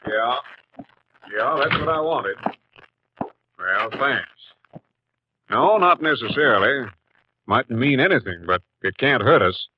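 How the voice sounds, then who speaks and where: A man playing a gruff, businesslike police captain in a 1950s radio drama, speaking tersely and weighing things sceptically. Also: medium pitch, expressive, slow, pauses often, slight background noise. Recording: muffled 1950s radio recording.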